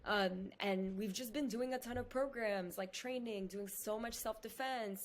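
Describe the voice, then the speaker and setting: A woman at home in headphones, speaking as a guest over a video call. Her voice sits at a middle pitch, and she talks quickly and animatedly in a casual way, with a faint hum of noise behind her. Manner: animated, fast, lots of "like". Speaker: podcast guest. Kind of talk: casual conversation. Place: indoor room at home.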